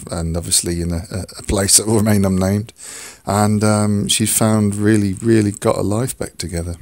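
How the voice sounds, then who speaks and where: Croaky, deep-voiced male podcast host, hesitant and full of fillers, close dry sound. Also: dry recording. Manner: halting, many fillers and restarts. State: hoarse, croaky from a cold. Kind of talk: casual solo podcast talk.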